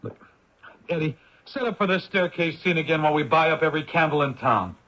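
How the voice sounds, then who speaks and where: Husky, brusque film director. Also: male voice, a little high, expressive, fairly quick, fairly bright tone. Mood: exasperated. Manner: brusque, commanding, impatient. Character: harried, exasperated male film director. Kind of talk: old-time radio drama acting. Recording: muffled old radio recording.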